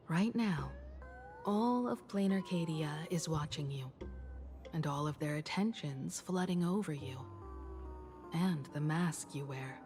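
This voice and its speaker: A woman playing a poised, knowing guide with dry humour in an anime-style game, composed and deliberate. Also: low-pitched, a little mellow.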